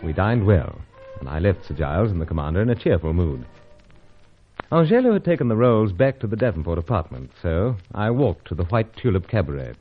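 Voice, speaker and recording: An actor playing a suave secret agent who narrates his own radio adventure in casual, clipped, gentlemanly speech. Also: low voice, big pitch swings, a little fast. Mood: measured narration. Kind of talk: old-time radio serial acting with first-person narration. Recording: muffled radio serial with background music.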